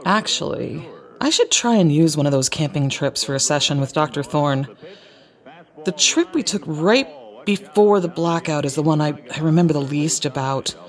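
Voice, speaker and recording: A grown daughter in an audio drama, young and earnest. Her intonation is lively and conversational, caring with a thread of exasperation. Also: sits low, moderate pace. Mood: pensive, earnest. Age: young adult. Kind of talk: naturalistic audio drama dialogue.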